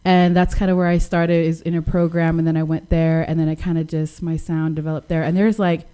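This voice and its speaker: Soft-spoken young woman from Western Samoa. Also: sits low, little pitch movement, a little fast, clear, resonant tone. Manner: soft-spoken, laughs often, slangy. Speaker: musician guest. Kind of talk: casual radio interview.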